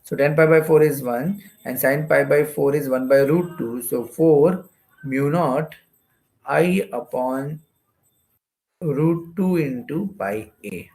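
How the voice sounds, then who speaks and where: A man's voice: fairly high pitch, slow pace, a little mellow, low-quality recording. A man with an Indian accent giving an explanatory exam-prep lecture as a physics teacher, going step by step and repeating phrases.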